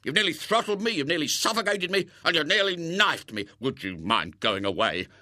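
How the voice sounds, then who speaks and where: A man's voice: on the high side, sing-song, a little fast, no echo, clean studio sound, voice pushed up, full character voice. Man doing an exasperated, indignant bachelor. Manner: indignant and loud. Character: flustered, exasperated middle-aged bachelor.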